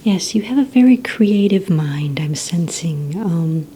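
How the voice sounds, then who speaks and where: Casting: woman as courteous supervillain-agency receptionist for ASMR roleplay; low, mellow, breathy near-whisper, gentle and organized. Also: expressive, unhurried, a little hiss or hum. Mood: gentle, businesslike. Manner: gentle, hesitant, courteous interviewer. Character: polite, organized female receptionist at a supervillain recruitment center. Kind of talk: soft-spoken ASMR roleplay.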